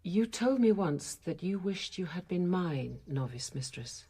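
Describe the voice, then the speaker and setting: An actress playing a discreet, thoughtful convent apothecary nun in a radio drama, quiet and earnest in both narration and dialogue. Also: female voice, lowish voice, expressive, a little slow, slightly rough, no room sound. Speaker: narrator and lead character.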